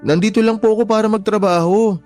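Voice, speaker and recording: A man's voice: sits high, steady tone, quick pace, a little mellow, close to an everyday voice. A man playing a humble, loyal young employee in a Tagalog radio drama, polite, hesitant and pleading. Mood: apologetic, firm. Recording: music bed behind the voice.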